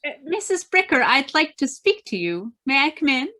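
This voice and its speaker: A woman's voice: sits high, big pitch swings, moderate pace, bright, forceful tone, exaggerated voice. A woman playing a crime fighter's loyal, concerned companion, earnest and questioning, in a radio drama. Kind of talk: old-time radio drama acting.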